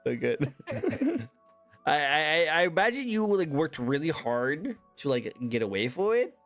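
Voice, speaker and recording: A man hosting a casual interview, chatty and quick to laugh. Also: a little high, sing-song, a bit bright, faint music behind. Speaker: interview host.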